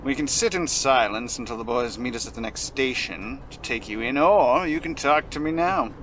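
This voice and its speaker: An actor playing a dry, dogged police detective in an audio play, talking in a gruff, casual, sardonic way. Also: male voice, medium pitch, animated, moderate pace, a bit bright, close to an everyday voice. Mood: sardonic, probing. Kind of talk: amateur audio play acting. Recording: faintly noisy home-device recording.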